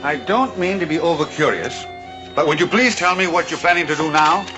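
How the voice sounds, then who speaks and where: An actor playing a jittery fussy associate. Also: male voice, a little high, brisk, natural voice. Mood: anxious. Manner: anxious, fussy, exasperated. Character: nervous fussy bank associate Asbury, easily alarmed. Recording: old TV soundtrack with music.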